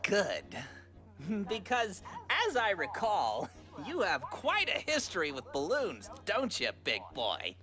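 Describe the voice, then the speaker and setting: A man's voice: sits high, huge pitch swings, slow pace, pushed and bright, full character voice. A man voicing a gleefully menacing young male cartoon pony in a fan fiction audio drama, drawing out mocking threats with chuckles. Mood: mocking menace. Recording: music behind the voice.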